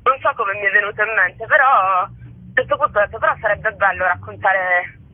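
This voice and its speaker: Woman on a tinny phone line, halting. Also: medium pitch, slightly rough. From Bari, southern Italy. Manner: rambling, frequent filler words, searches for words. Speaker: guest playwright and actress. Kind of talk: radio interview over the phone. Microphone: telephone.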